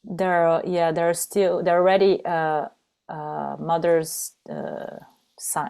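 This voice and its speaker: Halting woman, Portuguese her first tongue. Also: on the low side, level tone, creaky, warm tone, clear, resonant tone. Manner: halting, searching for words, many restarts. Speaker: guest, training facilitator. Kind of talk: casual conversation.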